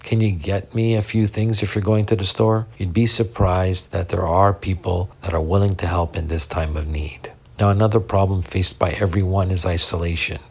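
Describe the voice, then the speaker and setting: Guest, male, from Canada: deep and husky, earnest, steady. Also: normal speed, hardly any pauses. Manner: steady, earnest, advice-giving. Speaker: guest, drugstore worker. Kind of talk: prepared personal statement.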